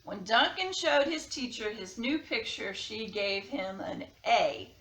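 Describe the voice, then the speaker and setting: A woman's voice: on the high side, very animated, measured pace, fairly bright tone, reverberant, far from the mic, low-quality recording. A woman reading to children and giving casual art instruction. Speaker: children's story reader and art teacher.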